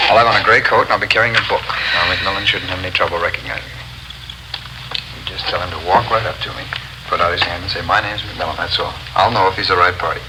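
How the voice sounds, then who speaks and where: Through heavy noise on an old radio recording comes a fairly deep-voiced American criminal, played by a man. He is courteous, exact and sure of himself, in the manner of fifties radio crime drama. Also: fairly even intonation, fast, natural voice. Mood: instructing, businesslike. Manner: businesslike, precise, courteous. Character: polite, self-assured male drug dealer arranging a secret meeting. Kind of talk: 1950s radio drama acting.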